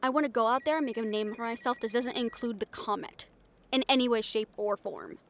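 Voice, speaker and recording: A woman's voice: on the high side, a little fast, natural voice. A woman playing a brash, cynical, foul-mouthed reporter in an improvised audio roleplay, with a blunt, sardonic manner. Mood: fed up, ranting.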